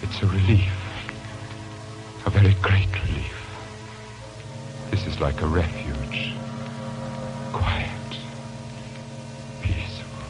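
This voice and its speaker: An actor playing a courteous, secretive, brooding lodger with a soft, hesitant delivery in a 1940s radio drama. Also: male voice, deep voice, slow pace, long pauses. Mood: relieved, hushed. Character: quiet, brooding, secretive gentleman lodger, a self-styled pathologist. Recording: old 1940s radio broadcast, music behind.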